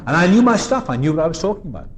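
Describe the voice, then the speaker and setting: A Welsh man in his fifties gives an earnest, reflective recovery talk at a meeting, with pauses and restarts. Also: a little high, very animated. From South Wales. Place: meeting room.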